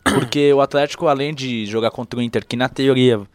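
A young man with a São Paulo accent, leading a casual student radio football debate with quick, teasing remarks. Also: mid-range voice, fairly even intonation, smooth, no room sound. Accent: São Paulo Portuguese. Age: young adult. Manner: quick, teasing, leads the talk.

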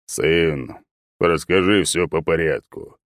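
A man voicing a weary, irritable father tree god, slow, heavy and exasperated, in a fantasy audiobook. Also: a bass voice, no room sound, clean studio sound.

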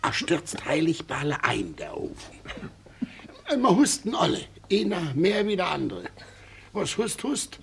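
Gravelly, very animated old Silesian weaver, downtrodden and grumbling, slightly noisy radio play recording. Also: male voice, fairly high pitch, measured pace, full character voice. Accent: Silesian German. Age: older. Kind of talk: dialect radio drama acting.

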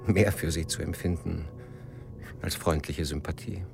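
A man's voice: low-pitched, a little slow, gravelly, music in the background. An actor playing a melancholy, jealous middle-aged poet in a radio drama, brooding and literary in his phrasing. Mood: bitter, reflective. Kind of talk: radio drama narration and intimate dialogue.

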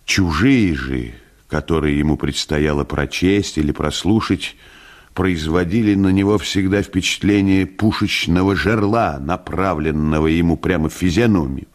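A man's voice: deep voice, normal speed. A man narrating a literary short story as a dramatic reader.

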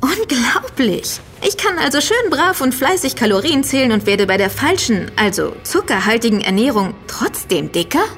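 A woman playing a sharp-witted investigator in an audio drama, speaking in an articulate, questioning way. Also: fairly high pitch, very animated, normal speed, hardly any pauses, soft background music. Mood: astonished. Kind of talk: German audio drama dialogue.

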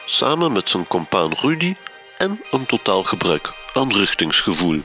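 A man's voice: fairly low pitch, very animated, moderate pace, bright, forceful tone, soft background music. A man with a Flemish accent narrating a comedy radio play, wry and mock-serious.

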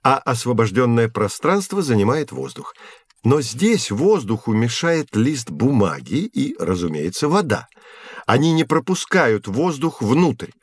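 An actor playing a patient professor who explains science to children didactically in an educational audio drama. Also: male voice, medium pitch, sing-song, unhurried, husky, no echo. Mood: explanatory. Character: patient male professor teaching children with hands-on experiments.

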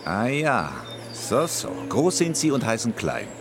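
An actor playing a brusque, official border customs officer who is dryly obliging, in a radio play. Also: male voice, mid-range voice, huge pitch swings, unhurried, vocal fry, roomy sound, a crowd in the background, exaggerated voice. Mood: dryly amused. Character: gruff but helpful male Swiss border customs officer.

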